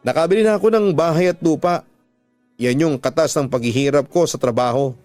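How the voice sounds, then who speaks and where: A man's voice: a little high, fairly quick, darkish tone, no echo, soft background music, lightly acted. A man voicing a remorseful husband who earnestly pleads with his wife in a radio drama.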